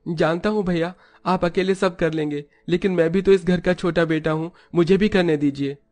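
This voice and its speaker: A man's voice: high-pitched, steady tone, normal speed, darkish tone, faint music behind, voice pushed up, close to an everyday voice. A man voicing an eager, devoted younger brother in a cartoon moral story, respectful and insistent. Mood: eager, pleading.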